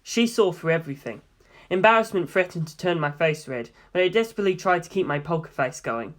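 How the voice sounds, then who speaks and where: Lady doing a serious young guy telling his story, voice dropped low, kinda guarded, audiobook. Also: expressive, fairly quick, natural voice. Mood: embarrassed, guarded. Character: Jorge, earnest guarded young man, narrating his story. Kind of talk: first-person audiobook narration.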